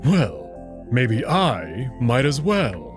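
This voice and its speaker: A man playing a proud, confident wolf-man noble in a visual novel, formal and deliberate in his wording. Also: medium pitch, wildly swooping pitch, music in the background, exaggerated voice. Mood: teasing, amused. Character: proud, confident male wolf-man noble, playful but hot-tempered.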